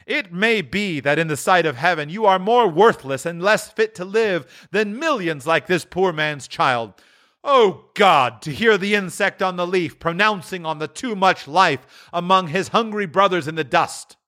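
A man voicing a solemn, stern male Christmas spirit who speaks gravely and rebukingly. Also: high voice, lively intonation, normal speed, bright, forceful tone, pitched above the performer's own voice.

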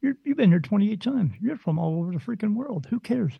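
Man, spirit medium guest, blunt, rambling, gravelly, dry lo-fi recording. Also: high voice, animated, fairly quick, no echo. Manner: rambling, blunt, mimics other people's voices. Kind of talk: casual podcast chat.

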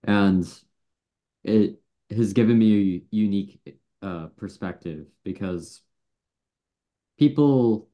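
A man's voice: on the low side, takes it slowly, pauses often, dull audio. A man from Buffalo, New York, chatting casually as a guest on a podcast. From Buffalo, New York; Florida from early teens. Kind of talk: casual chat.

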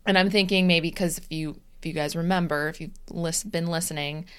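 Young woman podcast co-host, smooth low-leaning voice, casual storyteller. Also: a little fast. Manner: animated storytelling, acts out her own texts. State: recovering from vaccine side effects. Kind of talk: casual chat.

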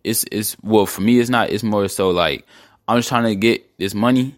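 A man's voice: lowish voice, normal speed. A man in his early twenties, a guest on a podcast, talking in a laid-back, slangy way. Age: 20s. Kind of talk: casual chat.

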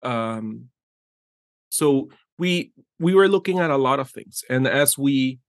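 A man's voice: medium pitch, expressive, slow, no room sound, clean studio sound. Eager middle-aged man from Guatemala. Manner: enthusiastic, rambling with frequent restarts and "right?" tags. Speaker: art director, interview guest. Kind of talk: casual interview conversation.

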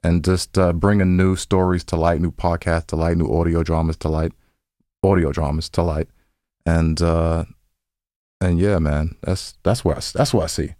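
A man's voice: deep voice, level tone, normal speed, warm tone, no room sound, clean studio sound. A man co-hosting a podcast in casual banter, rambling informally and often repeating his phrases. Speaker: podcast co-host. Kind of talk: casual banter between co-hosts.

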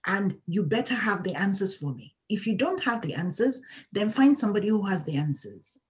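A woman's voice: medium pitch, lively intonation, fairly quick. A woman guest in an interview, talking candidly as a patient advocate in an emphatic, outspoken way and laughing often.

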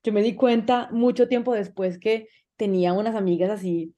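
A woman's voice: medium pitch, steady tone, normal speed, dark tone, dull audio. A woman with a Colombian accent, hosting a casual conversational interview podcast. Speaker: podcast host.

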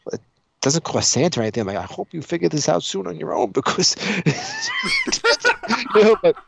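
A man chatting casually on a podcast, telling stories in an animated way, acting out dialogue and swearing freely. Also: fairly high pitch, brisk, creaky, no echo. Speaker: podcast co-host. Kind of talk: casual chat, animated storytelling.

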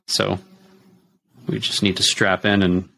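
A man's voice: sits low, flat delivery, a little slow, creaky, sharp tone. A man hosting an interview, asking questions hesitantly with many ums and restarts. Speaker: podcast host. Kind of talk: casual interview.

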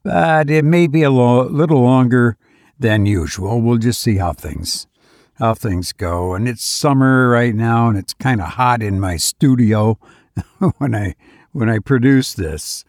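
A man hosting and reading for a horror story podcast, speaking in a measured, deliberate way. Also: medium pitch, animated, a little mellow, no room sound, studio quality. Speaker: podcast host and story reader. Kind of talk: slow intimate horror narration and host talk.

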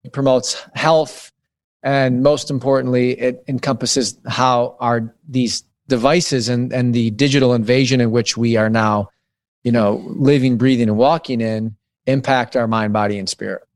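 A man in his late thirties speaks in a mid-range voice, thinking aloud and restarting phrases as he explains his ideas. The recording is close and dry, with little sense of the room. He sounds like a guest in a relaxed podcast conversation. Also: mid-range voice, normal speed, no room sound. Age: 30s. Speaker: guest author on digital wellness.

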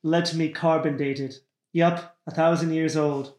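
A man reading a terse, deadpan archaeologist in a comedy sketch. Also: on the high side, unhurried, echoey room, lightly acted. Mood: matter-of-fact. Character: matter-of-fact archaeologist, deadpan about absurd finds. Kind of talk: sketch comedy table read.

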